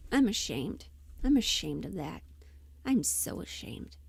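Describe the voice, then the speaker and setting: A woman's voice: lowish voice, big pitch swings, unhurried, creaky, slightly rough, no room sound. A woman chatting casually on a livestream, scattered and giggly, thinking aloud as she goes, close to the mic. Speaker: livestream host and audio performer.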